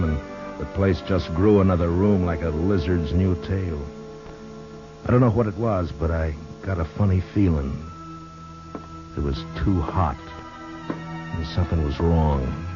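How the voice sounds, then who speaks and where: An American man playing a grave, steady frontier marshal in a 1950s radio western, measured and laconic. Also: deep voice. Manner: measured, laconic, plain-spoken. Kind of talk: 1950s American radio drama acting and first-person narration. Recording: dull 1950s radio recording with music.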